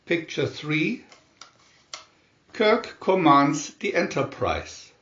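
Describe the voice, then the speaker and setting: Slow, echoey male storybook narrator. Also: fairly high pitch, big pitch swings, a bit bright. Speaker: narrator reading picture-story booklet. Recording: dull, echoey room recording.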